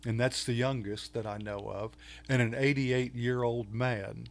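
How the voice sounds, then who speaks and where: An older man from Texas with a Texan accent, a guest on an interview show, talking in a halting way with many fillers and restarts. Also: medium pitch, fairly even intonation. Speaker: podcast guest, author. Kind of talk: casual interview talk.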